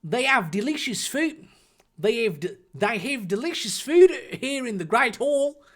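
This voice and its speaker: A man's voice: very high-pitched, big pitch swings, a little slow, fairly bright tone, pitched above the performer's own voice, heavily acted. A friendly, slyly pious schoolboy played by a man from a comic story reading. He speaks politely in a raised voice, with a wobbly Australian accent that drifts into Cockney. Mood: polite, inviting. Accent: put-on Australian slipping toward Cockney.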